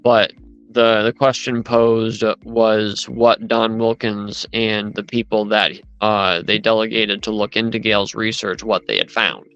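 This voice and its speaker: A man's voice: on the low side, steady tone, a little slow, a bit bright, clean tone, no room sound, muffled recording. A man in casual chat, rambling as he retells events with lots of asides.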